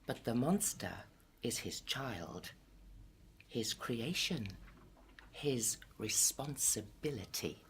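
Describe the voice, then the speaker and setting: Casting: impassioned gothic tragedy narrator, deep female register, theatrical and intense, live radio play with some noise. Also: lively intonation, slow, pauses often, full character voice. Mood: grave, brooding. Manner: intense, declamatory, dramatic. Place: festival theatre stage. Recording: live stage recording with clear noise.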